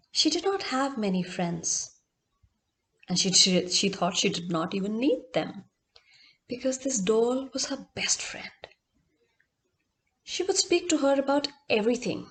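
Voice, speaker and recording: A woman storyteller narrating expressively and dramatically, in short emphatic phrases with repetition. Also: a little high.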